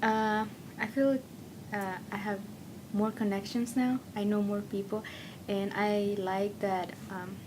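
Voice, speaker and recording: A woman's voice: level tone, slow pace, hardly any pauses. A young woman from Mexico with a Mexican Spanish accent, whose first languages are Spanish and Otomi, answering interview questions as a student in a cheerful way. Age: young adult. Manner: cheerful, laughs often. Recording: noisy recording with low rumble.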